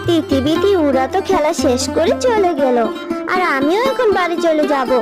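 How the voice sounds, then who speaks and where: Woman doing kid animal pal in a cartoon, high voice, bit grumbly. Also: animated, moderate pace, loud music behind the voice. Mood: reproachful. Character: young girl playmate, a mildly reproachful cartoon animal.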